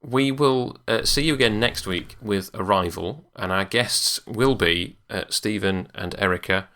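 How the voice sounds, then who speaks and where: A man's voice: fairly low pitch, a little slow, bright, forceful tone. A man co-hosting a podcast in casual, hesitant chat. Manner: hesitant, lots of ums and uhs. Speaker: podcast co-host.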